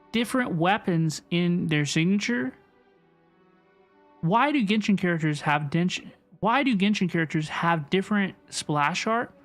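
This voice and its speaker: Animated woman livestreamer over game music. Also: on the low side. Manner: animated, repeats questions, reads chat aloud. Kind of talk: casual gaming livestream reaction, chatting with viewers.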